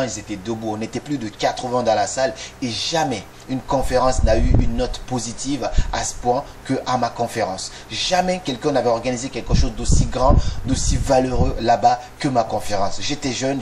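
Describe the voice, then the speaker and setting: A man's voice: mid-range voice, darkish tone. Earnest man giving a motivational talk. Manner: direct, addresses listener as tu, rhetorical questions. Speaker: motivational video host. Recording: noisy recording with clicks.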